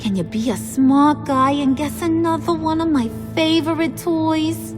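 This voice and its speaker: A woman's voice: high-pitched, a little slow. An actress playing a bossy, possessive young female villain in a video game, taunting with playful menace. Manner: sing-song taunting, threatening. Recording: music under the voice.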